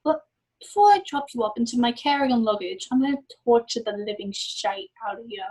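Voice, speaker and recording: A woman's voice: a little high, expressive, brisk, a little mellow, slightly rough, low-quality recording. A woman playing a gloating, sadistic torturer in an amateur musical script reading, taunting theatrically in speech and song. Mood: cocky threatening. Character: gloating sadistic torturer who relishes cruelty, singing villain. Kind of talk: amateur script reading with sung villain numbers.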